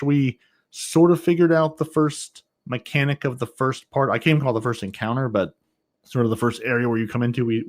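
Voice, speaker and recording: Wry male podcast host. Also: medium pitch. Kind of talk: casual gaming podcast chat.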